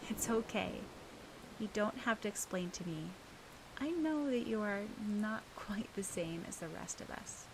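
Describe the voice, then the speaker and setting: A woman's voice: medium pitch, expressive, unhurried, pitched above the performer's own voice. A woman voicing an emotional, caring schoolgirl in an audiobook story, with noise behind her. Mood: gentle, understanding. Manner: stammering when upset. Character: emotional schoolgirl, indignant, tearful, fiercely caring.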